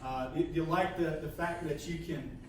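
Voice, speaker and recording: A man leading a sales training session, halting and repeating words, often ending points with "okay?". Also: a little high, steady tone. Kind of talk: coaching a training session with role-play. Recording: echoey room, mic far off, faint hiss.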